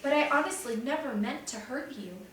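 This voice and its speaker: Lady reading a sorry young guy, quiet and apologetic, echoey room. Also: on the high side, moderate pace, slight background noise, natural voice. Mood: remorseful. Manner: subdued and apologetic. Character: troubled young man, a remorseful student. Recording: very echoey room, distant mic.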